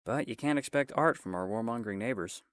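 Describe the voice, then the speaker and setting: A man's voice: medium pitch, lively intonation, quick pace, fairly bright tone, no room sound, lightly acted. Man acting a dry swordsman with swooping intonation. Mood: weary, disdainful. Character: gruff, wry human swordsman, hired fantasy bodyguard. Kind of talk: fantasy audio drama acting.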